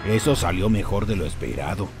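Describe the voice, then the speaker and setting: A man's voice: sits low, expressive, fairly quick. A man voicing an anxious, fussy flying sidekick who worries aloud and makes dry sarcastic remarks, in a comic fan dub. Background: background music.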